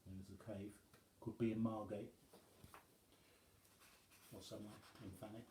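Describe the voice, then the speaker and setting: A man reading a rhyming children's story aloud as a storyteller, in measured phrases with dramatic pauses. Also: on the low side, little pitch movement, warm tone, roomy sound, slight background noise.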